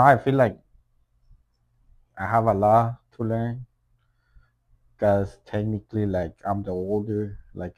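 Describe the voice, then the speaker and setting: A man from El Salvador with a thick Salvadoran accent, co-hosting a casual podcast chat in a playful, joking way, halting and restarting as he talks. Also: lowish voice, a little mellow, rough recording. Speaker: podcast co-host.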